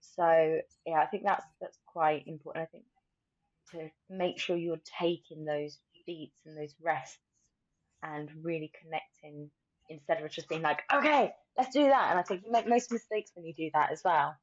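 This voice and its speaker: A woman with a fairly low voice talks casually as a podcast host. Her delivery is informal and reflective, thinking aloud as she goes. The sound is dry and close but a little dull and lo-fi. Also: normal speed, no room sound.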